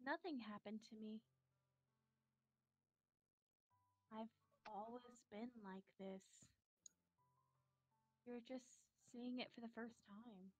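A fragile, guilt-ridden teenage girl from an anime visual novel, played by a woman. Her voice is fairly high and her delivery halting and emotional, with faint music underneath. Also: dull audio. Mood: resigned. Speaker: amateur reader of a visual novel character. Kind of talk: dramatic visual novel reading.

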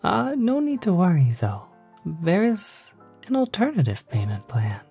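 A man playing a polite, quietly sinister demon concierge in an ASMR roleplay, speaking softly in half-whispers with gentle, hesitant persuasion. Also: sits high, huge pitch swings, a little slow, dull audio, soft background music, exaggerated voice. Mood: coaxing. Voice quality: soft, breathy, half-whispered.

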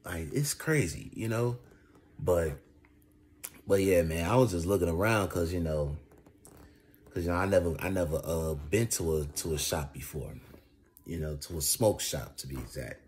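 Deep-voiced young American man, casual and chatty. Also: normal speed, a little mellow. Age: young adult. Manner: repetitive emphatic slang, conversational. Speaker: food reviewer. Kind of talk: casual chatty vlog storytelling. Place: indoors, reclining on a couch. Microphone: phone at arm's length. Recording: clear background noise, home phone recording.

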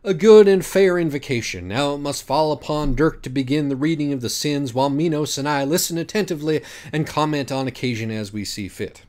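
A man voicing a dignified woman author who sits as judge in an audiobook, formal and measured. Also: a little high, darkish tone, natural voice. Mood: ceremonious. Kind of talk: audiobook character voice.

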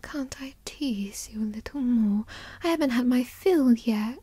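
Woman acting a slow, breathy whispering teasing girlfriend. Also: a little high, warm tone, no echo. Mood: tender teasing.

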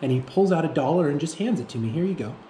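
A man with a soft-edged, mellow voice talks reflectively and without a script. He gropes for words and restarts phrases as he goes. The room adds noticeable echo, with faint noise underneath. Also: a little high, animated. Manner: halting, searching for words, repeating himself. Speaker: fitness vlogger. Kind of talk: reflective unscripted monologue to camera. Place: indoor room with bare walls.

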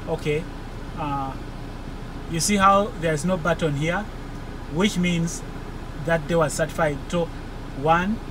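A man in his forties, a truck driver, thinking aloud as he works through a screen in the cab of his parked truck, hesitant and halting. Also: fairly high pitch. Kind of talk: casual vlog walkthrough. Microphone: phone camera held in the cab. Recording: engine and fan noise in a truck cab.

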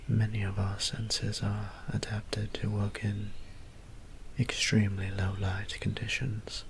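A man voicing a gentle, shy, weary young vampire priest in a soft-spoken ASMR roleplay, breathy and whispered, with polite, formal, hesitant phrasing. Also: lowish voice, a little hiss or hum. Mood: hesitant, explaining.

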